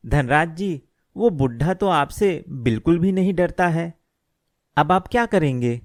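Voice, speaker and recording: A man's voice: on the high side, lively intonation, a little slow, dry recording, studio quality. A man voicing a deferential, scheming henchman of a village bully in a cartoon story. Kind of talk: Hindi moral cartoon story dialogue.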